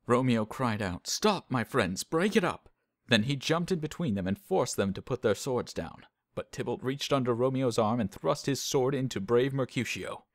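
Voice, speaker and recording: A man's voice: medium pitch, no room sound, clean studio sound, natural voice. An actor playing a loyal, earnest young nobleman with a dramatic delivery in a modernized Shakespeare audio drama.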